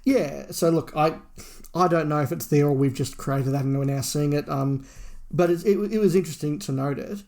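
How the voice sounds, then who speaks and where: A man's voice: on the high side, moderate pace, a little mellow. A man co-hosting a podcast, talking through a review casually and thinking aloud as he goes. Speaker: podcast co-host.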